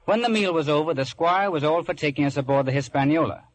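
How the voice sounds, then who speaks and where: A man's voice: fairly high pitch, fast, close to an everyday voice, low-quality recording. An actor playing an earnest adventurer who narrates his own tale in a dramatic radio style. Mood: reflective. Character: earnest Jim Hawkins as storyteller, looking back on adventure.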